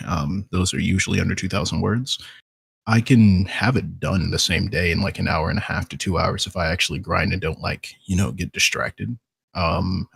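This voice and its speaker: A man's voice: sits low, gravelly, husky, no echo. A man speaking as a voice actor on a podcast panel, explaining things casually.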